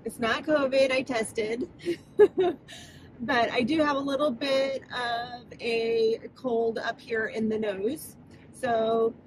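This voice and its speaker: A woman in her thirties vlogging from the driver's seat, slightly stuffed up and nasal from a cold. She talks slowly in a somewhat high voice, laughs easily, and sounds close to a phone on the dashboard. A faint hiss sits behind her in the car. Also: fairly even intonation, low-quality recording. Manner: chatty, laughs often, slow and drawn out. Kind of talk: casual vlog chat to camera. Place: parked car, inside. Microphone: phone camera on the dashboard.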